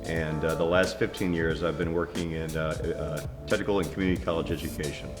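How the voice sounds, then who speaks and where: A middle-aged man in casual conversation, thoughtful and halting, with many pauses as he recalls his life. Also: a bass voice, fairly even intonation, over music. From New Orleans, raised near Philadelphia. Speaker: interviewee. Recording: echoey room with long reverb.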